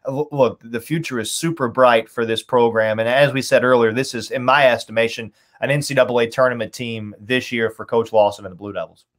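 A man's voice: mid-range voice, steady tone, fairly quick, few pauses, a bit bright. A man in his thirties talking sports enthusiastically as a podcast guest, repeating phrases for emphasis. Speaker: radio play-by-play announcer as podcast guest. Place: small furnished room at home, on a video call.

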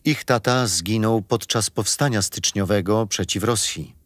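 An actor playing a kindly grandfather who tells his grandchildren bedtime stories gently and expressively in a children's radio play. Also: on the low side, unhurried, no echo, lightly acted.

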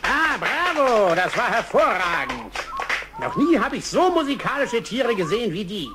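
An actor playing a sly animal hunter who poses as a jovial professor, oily, theatrical and mock-polite, in a cartoon dub. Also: male voice, very high-pitched, very animated, moderate pace, heavy background noise. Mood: oily, flattering. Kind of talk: German-dubbed cartoon dialogue.

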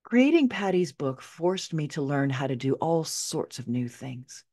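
A middle-aged woman narrating her own memoir as an audiobook, expressive and conversational, with wry asides. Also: deep voice, unhurried. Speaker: author reading own book.

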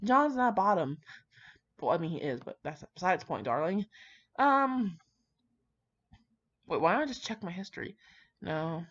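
A woman's voice: lowish voice, sing-song, normal speed, long pauses, a bit bright. A woman rambling casually with dramatic asides, brash, slangy and full of swearing as she comments on fan fiction. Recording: dull lo-fi microphone.

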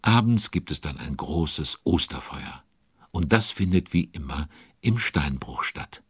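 A man narrating a children's audio drama as a chatty, involved storyteller with conspiratorial asides and a husky voice. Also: extremely low pitch, lively intonation, a little slow, no echo, studio quality, exaggerated voice. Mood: chatty, musing.

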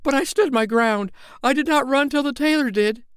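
A man voicing a boastful, easily frightened tinker in a storybook reading, shrill and excitable. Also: measured pace, no room sound, studio quality. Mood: panicked.

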